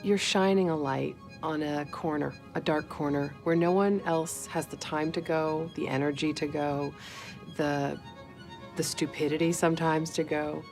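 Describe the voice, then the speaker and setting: A woman's voice: deep voice, fairly even intonation, unhurried, gravelly, darkish tone, faint music behind. A woman in a documentary interview, reflective and emphatic, building her point in short phrases.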